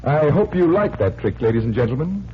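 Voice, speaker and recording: An actor playing a genial magician detective in a 1940s radio show, patiently explaining tricks. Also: male voice, on the high side, big pitch swings, fairly quick. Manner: patient, instructive. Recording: muffled, hissy 1940s radio recording.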